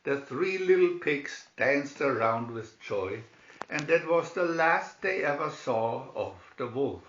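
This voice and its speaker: A man reading a children's fairy tale as an expressive storyteller. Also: medium pitch, slow pace, slight background noise. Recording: roomy echo, slightly dull sound.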